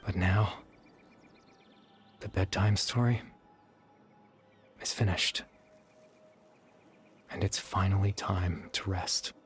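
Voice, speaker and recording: A sad soft-spoken young man in a video game, low voice, measured, music behind. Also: fairly even intonation. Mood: wistful, resigned. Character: gentle, sorrowful young man, a fading remnant consciousness at peace. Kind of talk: scripted video game voice acting. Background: background music.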